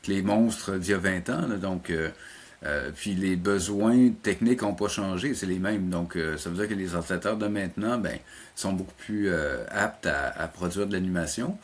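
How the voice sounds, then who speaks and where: A man's voice: deep voice, moderate pace. A man with a Québécois French accent giving casual interview answers as a teacher, searching for words and often repeating them. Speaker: animation teacher being interviewed.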